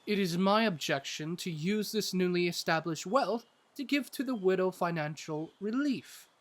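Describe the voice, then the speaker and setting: A man playing a good-hearted, earnest adventurer in a fantasy audio drama, with a halting delivery. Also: high-pitched, expressive. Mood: resolute. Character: good-hearted, thick-skulled married man on fantasy mission. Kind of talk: fantasy audio roleplay acting.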